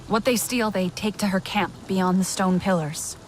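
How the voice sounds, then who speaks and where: A woman playing an earnest, steady young alien clan warrior in a video game. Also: medium pitch, normal speed, slight background noise, natural voice, low-quality recording. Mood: grim, earnest.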